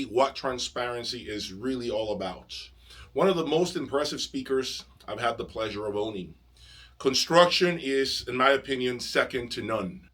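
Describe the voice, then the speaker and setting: A man hosting an enthusiastic video review, speaking emphatically with dramatic pauses. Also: mid-range voice, bright, forceful tone. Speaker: audio review channel host. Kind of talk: enthusiastic product review presentation to camera.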